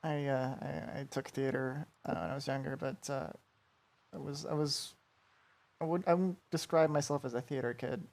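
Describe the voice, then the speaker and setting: A man with a gravelly, creaky voice who often trips and restarts as he talks. His pitch sits in the middle range. He is chatting casually in a group. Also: animated, a little mellow. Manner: halting, stumbling over words. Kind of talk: casual chat.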